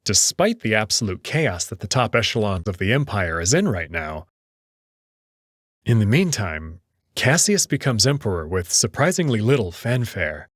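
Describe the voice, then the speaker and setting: A man's voice: fairly low pitch, no room sound, clean studio sound. A man reading a visual novel aloud as first-person dramatic narration.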